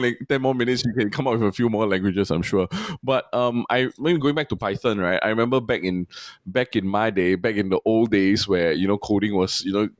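A man's voice: medium pitch, no room sound, clean studio sound. A man from Singapore hosting an interview, asking curious, rambling questions with frequent restarts. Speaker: interview host. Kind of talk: casual interview chat.

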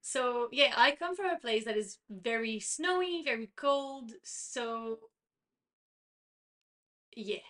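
A bright, somewhat high voice from a young woman with a Quebec French accent. French is her first language, and she chats playfully in English as a podcast co-host. She giggles often between her lines. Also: animated, takes it slowly, long pauses. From Quebec, Canada. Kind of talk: casual chat.

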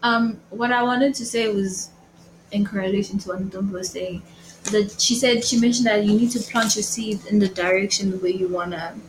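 A young woman who is not a native speaker, chatting casually on a panel and pausing to find her words. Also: medium pitch, steady tone, noisy background. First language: not English. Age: young adult. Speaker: podcast panelist. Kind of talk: casual faith discussion.

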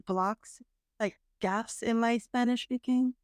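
A woman talking casually with her brother as a podcast guest, reflective and hesitant, with frequent pauses and restarts. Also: fairly high pitch, steady tone, dry recording, studio quality. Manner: hesitant, reflective, many fillers and restarts, occasional laughs. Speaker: guest, host's sister. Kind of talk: casual conversation. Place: parents' house.

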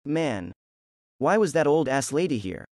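A man's voice: on the high side, big pitch swings, a little slow, darkish tone, no room sound, studio quality, pitched above the performer's own voice. A man voicing a scared, wisecracking teenage boy friend. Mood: anxious.

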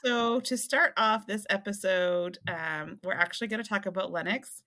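Bright-voiced woman podcast co-host. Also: medium pitch, normal speed. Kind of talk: casual chat.

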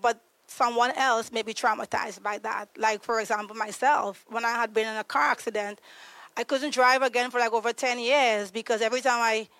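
Woman guest from Trinidad and Tobago, earnest, restarts often, slightly high, dry sound. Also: steady tone, a little fast, fairly bright tone, no echo, low-quality recording. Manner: earnest, searching for words, frequent ums and restarts. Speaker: podcast guest, trauma coach. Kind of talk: conversational explanation.

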